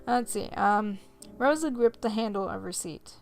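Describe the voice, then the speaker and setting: A woman with noticeable creak in her mid-pitched voice narrates a visual novel for a gaming video. She reads in a measured, storybook way and drops into casual commentary, with music playing behind her. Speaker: host of a gaming video series. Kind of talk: let's play commentary, reading visual novel text aloud.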